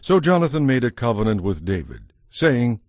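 A man's voice: mid-range voice, big pitch swings, moderate pace, darkish tone, dry recording, studio quality. A man narrating a dramatized audio Bible as scripted storytelling.

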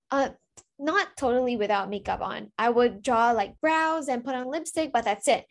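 High-voiced woman in her thirties, earnest. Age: 30s. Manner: earnest, chatty, with frequent fillers and restarts. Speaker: product testimonial speaker. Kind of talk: personal testimonial on a video call. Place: indoors before a virtual backdrop. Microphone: webcam on a video call.

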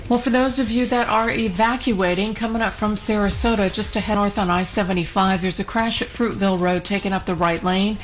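A woman's voice: medium pitch, fairly even intonation, fairly quick, few pauses, low-quality recording. An American woman giving a radio traffic report as the traffic reporter. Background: music bed.

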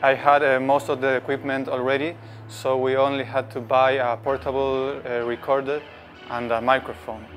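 A man talks in a medium-pitched voice over faint music. He explains things to camera on a lapel mic, interview style. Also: little pitch movement, moderate pace. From Chile. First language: Spanish. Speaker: sound engineer and podcast creator.

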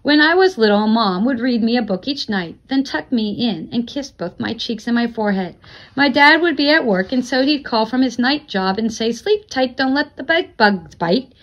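A woman reading a children's novel aloud expressively, with a deliberate storytelling delivery, like a teacher reading to a class. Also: a little high, animated, muffled recording.

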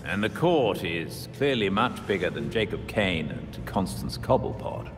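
A calm, matter-of-fact vigilante. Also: male voice, low voice, measured pace. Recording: reverb with music score.

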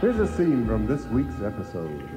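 Guy announcing a radio serial, lively swoopy delivery, slow, loud music. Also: lowish voice.

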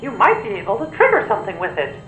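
A man voicing a small, kindly mouse king in squeaky falsetto for a video game. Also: wildly swooping pitch, rapid-fire, a bit bright, exaggerated voice. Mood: concerned, curious. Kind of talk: video game cartoon acting. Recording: echoey muffled voice over music.

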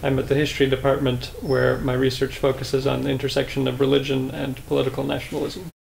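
A man's voice: mid-range voice, completely flat delivery, fast talker, vocal fry, slight background noise, low-quality recording. A young man from the United States, a history student, speaking articulately and with enthusiasm.

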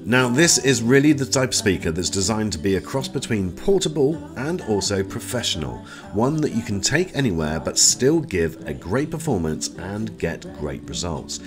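A man giving a conversational, explanatory product review as a voice-over. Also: fairly low pitch. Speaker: tech reviewer.

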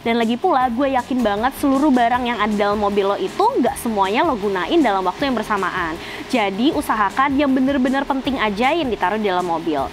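A woman's voice: fairly high pitch, animated, fairly quick. A woman in her twenties with a Jakarta accent, presenting a casual how-to video to the camera in a chatty, slangy way with frequent "eh" fillers. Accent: Jakarta Indonesian. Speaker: car channel presenter. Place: outdoors by a car in a residential driveway. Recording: music playing behind the voice.

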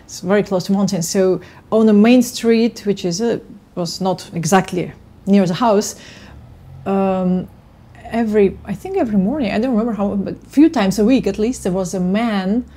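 A woman in her thirties, a native Russian speaker from Kyrgyzstan, chatting casually in a home kitchen and sometimes pausing to search for words. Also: mid-range voice, mellow. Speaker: guest violinist. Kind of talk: casual chat.

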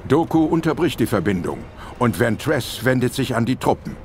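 A man's voice: mid-range voice, big pitch swings, normal speed, a little hiss or hum. A man narrating a scripted audio drama as the storyteller.

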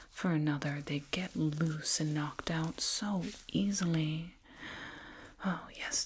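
A woman whispering slowly and soothingly in an ASMR roleplay as a condescending alien dentist, close to a binaural microphone. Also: low voice, darkish tone, a little hiss or hum. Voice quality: breathy whisper. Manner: slow, soothing, patronizing, deliberate.

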